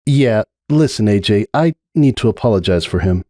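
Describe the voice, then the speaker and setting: A man voicing a troubled young female pony who speaks earnestly and hesitantly, as if softening bad news, in a dramatic story reading. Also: fairly low pitch, expressive, normal speed, no room sound, clean studio sound, lightly acted. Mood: apologetic, exasperated.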